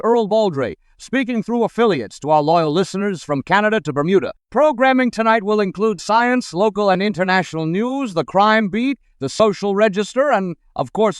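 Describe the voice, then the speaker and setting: An upbeat host from the golden age of radio, reading scripted announcements in a high-pitched voice. His delivery is polished and formal, with a clean, resonant tone. The sound is dry and close, like a studio radio drama. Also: animated, normal speed, hardly any pauses, clean tone, dry recording. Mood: smooth, welcoming. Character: upbeat 1940s radio host and newsreader Earl Baldry.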